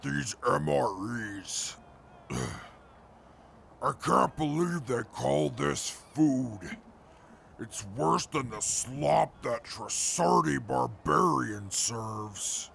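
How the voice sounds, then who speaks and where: A man playing a proud, stubborn super mutant in a post-apocalyptic audio drama, gravelly and pompous in his formal wording. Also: medium pitch, lively intonation, very slow, a bit bright, a little hiss or hum, heavily acted. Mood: disgusted.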